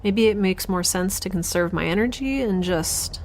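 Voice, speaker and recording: A woman acts out a weary young female scientist adrift in space, keeping an audio diary for a science fiction drama. She talks in a medium-pitched voice, hesitant and confiding, with a wry edge to her overwhelmed temper. A noticeable bed of ambience runs behind her. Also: normal speed, a little mellow, a little hiss or hum, natural voice. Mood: weary, brooding. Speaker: narrator and lead of an audio drama.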